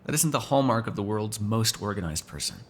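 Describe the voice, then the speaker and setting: A man's voice: on the low side, expressive, fairly quick, a little hiss or hum. A man playing a sardonic, teasing, foul-mouthed vampire in an ASMR roleplay, talking casually to one listener. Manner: casual, teasing, sarcastic, swears freely.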